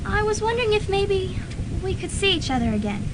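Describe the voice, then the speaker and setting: A plucky, vain college girl acted by a woman in campus radio comedy. She is ditzy and very high-voiced. The old tape carries clear noise. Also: sing-song, fairly quick, full character voice. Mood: awkward, vain. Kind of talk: student radio comedy-drama acting. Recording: noisy old student radio recording.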